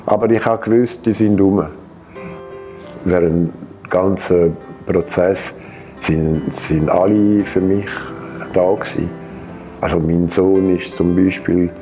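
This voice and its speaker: A man in his sixties from Geneva with a French-speaking Swiss accent, French his first language, telling his own story to camera in a halting way with many hesitations and restarts. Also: deep voice, warm tone. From Geneva, Switzerland. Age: 60s. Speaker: patient sharing his experience. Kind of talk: personal account to camera. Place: bright studio room, indoors.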